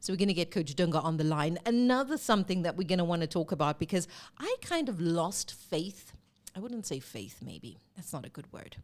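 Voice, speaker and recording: Woman sports host, from south africa, lowish voice, stuffy with a cold, casual chatty delivery. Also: fairly quick. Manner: chatty and lively, with fillers and self-corrections. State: congested with a cold. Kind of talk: casual live sports talk.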